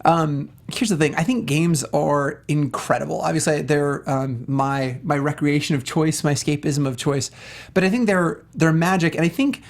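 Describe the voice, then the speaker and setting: A man's voice: a little high, moderate pace, vocal fry. A man co-hosting a casual film discussion show, speaking with emphatic, earnest enthusiasm. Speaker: talk show co-host.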